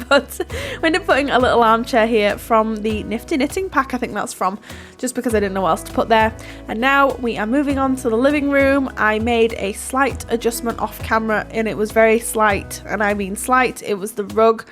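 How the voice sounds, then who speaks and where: A woman's voice: a little high, normal speed, a bit bright, soft background music. A woman, stuffy from hay fever, chatting casually through a voiceover of her building game, giggling and self-deprecating. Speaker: YouTuber narrating a Sims build.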